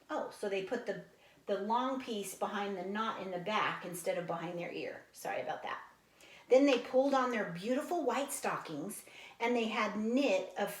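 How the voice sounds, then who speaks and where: Woman read-aloud teacher, mid-range voice, casual explanatory asides between story passages, roomy echoing sound. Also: mid-range voice. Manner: explains to young listeners, animated sound imitations. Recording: echoey room.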